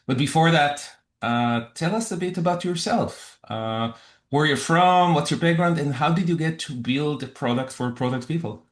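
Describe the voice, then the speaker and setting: A man's voice: fairly high pitch, normal speed. A man co-hosting a podcast, asking questions in a hesitant way with many uhs. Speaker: podcast co-host. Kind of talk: casual podcast interview. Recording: roomy echoey room.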